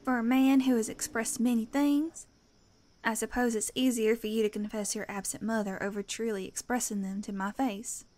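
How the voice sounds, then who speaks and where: A woman performs a small-town teacher in an audio drama. Her voice is notably high for a woman. She comes across as guarded and defensive yet tender, choosing wordy, earnest phrases with some hesitation. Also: steady tone, moderate pace, a little mellow, lightly acted. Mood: defensive, hurt.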